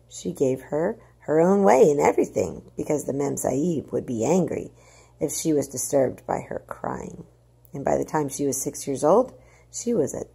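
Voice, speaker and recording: A woman narrates a story aloud in a low register. Her voice is mellow with plenty of fry, and she leans into the words with dramatic emphasis. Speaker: storybook reader.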